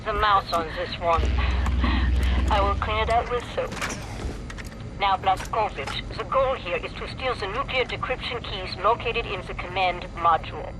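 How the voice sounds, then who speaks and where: Actress as a stern, commanding resistance leader. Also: female voice, mid-range voice, normal speed, noisy background, close to an everyday voice. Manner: crisp, authoritative briefing. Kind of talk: video game voice acting. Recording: loud music behind.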